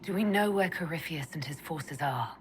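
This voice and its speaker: An actress playing a blunt, practical soldier who speaks dryly and skeptically. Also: female voice, low voice, animated, moderate pace, slight background noise. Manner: blunt, dry.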